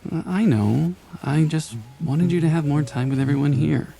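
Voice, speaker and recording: An actor playing a gentle, soft-spoken older grandfather in an animated comic drama, talking without hurry. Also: on the high side, moderate pace, creaky, husky, faint music behind. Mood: subdued, weary. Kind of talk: animated motion-comic voice acting.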